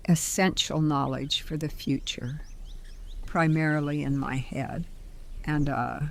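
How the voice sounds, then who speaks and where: A woman playing a composed, benevolent older stranger in an audio drama, speaking in a measured, polite, articulate way. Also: low voice, steady tone, gravelly, a little hiss or hum, exaggerated voice. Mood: calm, earnest.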